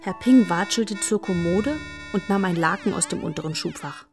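A woman playing the narrator of a children's radio play, measured and matter-of-fact. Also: on the low side, loud music behind the voice, natural voice. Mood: calm, measured. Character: calm female storyteller of a children's tale.

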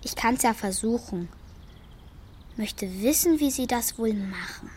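A woman's voice: fairly high pitch, expressive, measured pace, darkish tone, a little hiss or hum. A woman playing a curious, matter-of-fact young schoolgirl in a children's radio play, chatty and a little defensive. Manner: plain, chatty, childlike phrasing.

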